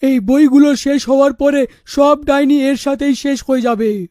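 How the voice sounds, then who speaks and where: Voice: high voice, fairly even intonation, normal speed, warm tone, dry recording, studio quality, natural voice. An actor playing a troubled, protective middle-aged father in a cartoon, grave and insistent in manner. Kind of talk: animated story dialogue.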